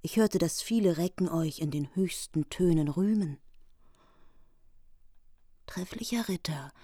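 Rachsüchtige Königin, eindringlich, tiefere Frauenstimme, trockene Studioaufnahme. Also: female voice, on the low side, unhurried, mellow, no echo. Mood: imploring. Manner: urgent, imploring. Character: vengeful grieving queen demanding loyalty. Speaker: queen. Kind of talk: radio play acting. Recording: dry studio.

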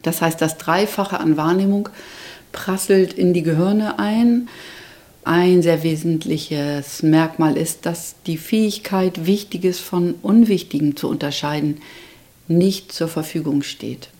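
Middle-aged female therapist, measured explainer, lowish warm tone. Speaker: child and youth therapist. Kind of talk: interview answers.

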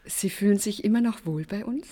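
An actress playing an eager, flirtatious secretary with a husky voice, in naturalistic drama dialogue. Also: female voice, medium pitch, brisk, warm tone, lightly acted. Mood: eager, curious. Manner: inviting, curious, admiring. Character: eager, flirtatious small-town mayor's secretary.

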